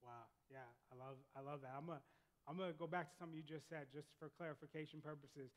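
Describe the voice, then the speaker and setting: An eager man leads a church-stage interview, stumbling over repeated words as he piles on the praise. His voice sits a little high for a man. It rings out with long reverb across a large sanctuary. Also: steady tone, quick pace. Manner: enthusiastic, repeats words, warm affirmations. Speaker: pastor interviewing a guest.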